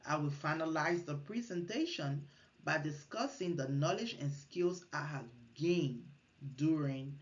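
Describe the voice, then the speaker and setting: A woman's voice: low voice, unhurried, highs cut off. A woman from Liberia, giving an earnest recorded class presentation, partly read and with small restarts. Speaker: student presenter.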